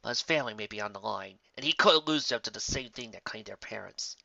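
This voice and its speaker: A man narrates a story with expressive, scripted delivery. His voice is bright and forceful, captured close and dry on a slightly dull, lo-fi home recording. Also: on the low side, fast, vocal fry, no echo. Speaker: fan fiction narrator.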